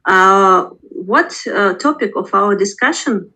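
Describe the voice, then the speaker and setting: Slow, bright-voiced woman. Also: medium pitch, animated. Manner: halting, searching for words. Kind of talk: conversation practice. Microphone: video call.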